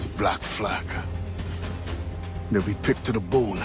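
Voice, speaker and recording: An actor playing a bold, seasoned rebel ship captain in a video game, commanding and emphatic. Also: male voice, on the low side, slow pace. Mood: grim. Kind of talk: dramatic video game acting. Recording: loud music behind the voice.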